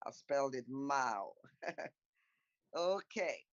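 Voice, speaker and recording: A woman's voice: extremely low pitch, lively intonation, slow, no room sound, highs cut off. A woman from Congo whose first language is French, hosting and moderating an online panel in a chatty, encouraging way and thinking aloud as she types. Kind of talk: casual hosting of an online talk.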